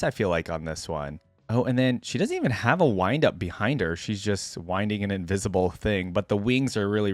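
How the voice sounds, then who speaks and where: A man's voice: lowish voice, no echo. A man hosting a reaction video, commenting casually and conversationally on game skins as he thinks aloud.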